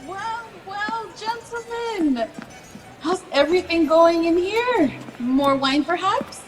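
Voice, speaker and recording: A woman's voice: very high-pitched, animated, slow, exaggerated voice. A woman playing a gracious but easily flustered society hostess, polite and coaxing, in a radio drama. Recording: long hall reverb, music underneath.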